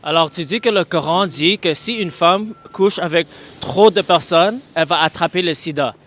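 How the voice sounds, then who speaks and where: A man interviewing people on the street, calm and probing, restating claims back to check them. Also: sits high, moderate pace.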